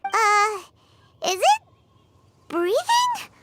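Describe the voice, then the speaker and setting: A woman voicing a tiny, childlike female fairy companion in a video game, squeaky, excitable and blunt. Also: drawn-out words, very noisy, full character voice. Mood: curious, alarmed. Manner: exclamatory, third-person self-reference. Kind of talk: animated video game character acting.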